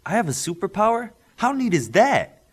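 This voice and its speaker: A man's voice: high-pitched, big pitch swings, moderate pace, breathy edge, pitched above the performer's own voice, exaggerated voice. A man voicing an eager, proud eleven-year-old boy with an earnest manner in an audiobook. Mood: excited.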